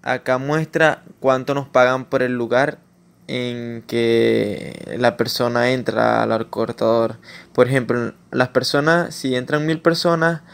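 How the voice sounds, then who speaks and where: A Latin American man narrating a screen-recorded tutorial in an informal way, pausing with fillers and restarting his sentences. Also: medium pitch, fairly even intonation, creaky, clear, resonant tone. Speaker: YouTuber explaining online earnings.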